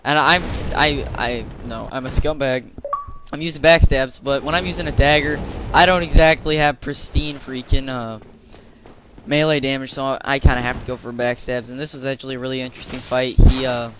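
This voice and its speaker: A young man with a slightly hoarse, mid-pitched voice talks casually over his gameplay footage. He rambles and restarts his sentences like a regular channel host. Steady background noise sits clearly under the recording. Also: normal speed. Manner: chatty, rambling, with fillers and restarts.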